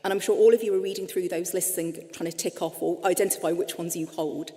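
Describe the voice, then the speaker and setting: A woman's voice: lowish voice, steady tone, quick pace, mellow. Earnest English woman lecturing in a hall. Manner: earnest, explanatory, addresses audience directly. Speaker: clinician giving a presentation. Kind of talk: lecture-style presentation with slides. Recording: long hall reverb.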